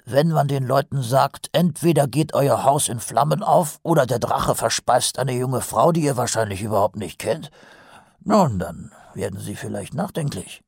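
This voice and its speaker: A man voicing a shifty, cowardly little city watchman in an audiobook, with a raspy, croaky voice and a hesitant, wheedling manner. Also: medium pitch, expressive, normal speed, a little mellow, dry recording, clean studio sound, voice pushed up. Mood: curious, musing. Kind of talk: audiobook character voice.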